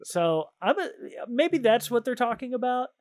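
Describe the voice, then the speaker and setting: A man's voice: very high-pitched, big pitch swings, moderate pace. A man hosting a podcast in casual chat, playful and jokey, reading out trivia cards and often amused. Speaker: podcast host and quizmaster.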